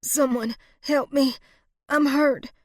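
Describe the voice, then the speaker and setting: Lady doing a hurt woman from a novel, whiny pleading wail, high and panicky. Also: steady tone, slow, no echo, clean studio sound. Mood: pained, pleading. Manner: wailing, pleading. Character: frantic wounded woman, self-pitying and crying for help.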